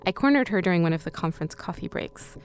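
A woman's voice: fairly low pitch, lively intonation, quick pace, faint music behind. A woman hosting a radio documentary, reading scripted narration. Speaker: host.

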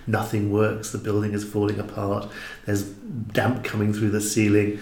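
Thoughtful guy on a podcast, gravelly and a bit deep, emphatic, room echo. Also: completely flat delivery, moderate pace, darkish tone, slight background noise. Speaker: podcast guest, drama creator. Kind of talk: reflective conversation. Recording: roomy, echoing indoor sound.

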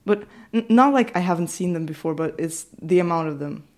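A woman's voice: fairly low pitch, expressive, fairly quick, a little mellow. A seventeen-year-old woman from Ukraine, a native Ukrainian speaker, answering interview questions in a hesitant way with many fillers and restarts. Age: teens. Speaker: student interviewee.

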